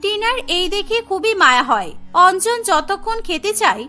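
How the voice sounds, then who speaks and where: A woman's voice: very high voice, very animated, moderate pace, sharp tone. A woman reading scripted narration for a children's cartoon story, with music behind her.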